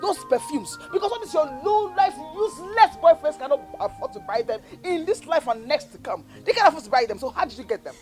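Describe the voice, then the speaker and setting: A woman playing a shrill, taunting older sister. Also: lively intonation, fairly quick, hardly any pauses, faint music behind. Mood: scornful. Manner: taunting, shouting insults. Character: scornful bullying older sister, shrill and accusing.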